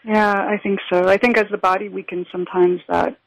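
A woman guest in an interview, telling her story thoughtfully and haltingly, with many fillers and restarts. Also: medium pitch, fairly even intonation, vocal fry, dry recording. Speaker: interview guest, shamanism teacher. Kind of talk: reflective conversational storytelling. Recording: dull lo-fi, dry sound.

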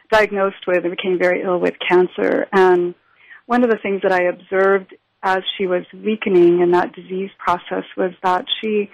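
A woman guest in an interview, telling her story thoughtfully and haltingly, with many fillers and restarts. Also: mid-range voice, no echo. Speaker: interview guest, shamanism teacher. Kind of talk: reflective conversational storytelling. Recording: dull lo-fi, dry sound.

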